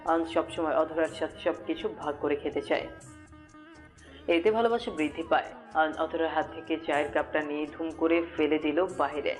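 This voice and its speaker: A woman's voice: low voice, fairly even intonation, moderate pace, faint music behind. A woman reads a scripted romantic story as its narrator.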